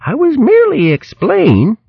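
A man's voice: very high-pitched, huge pitch swings, measured pace, gravelly, highs cut off, voice pushed up, heavily acted. A man voicing a pompous, whining old male hare from an animal storybook, melodramatic and full of old-fashioned posh British slang. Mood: polite protest.

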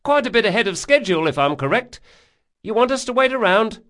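A man's voice: sits high, very animated, fairly quick, fairly bright tone, voice pushed up. A man voicing a blunt, chatty working boatman in an audiobook, colloquial and opinionated. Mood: obliging. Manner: colloquial, dropped words, exclamatory.

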